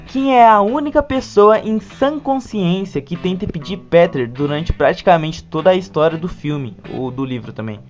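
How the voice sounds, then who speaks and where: A narrator with a Brazilian Portuguese accent delivering a scripted video essay with informal touches. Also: mid-range voice. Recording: dull lo-fi sound, music behind. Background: background music.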